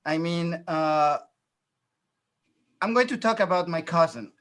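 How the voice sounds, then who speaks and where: A man speaking as a political candidate at an online forum, earnest and halting, with frequent fillers, on a video call. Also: on the high side, fairly bright tone. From France, New York and Dominican Republic.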